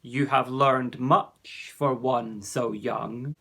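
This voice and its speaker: A man voicing a wise, solemn male warrior who speaks in slow, measured pronouncements. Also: medium pitch, steady tone, gravelly, fairly bright tone, husky, full character voice.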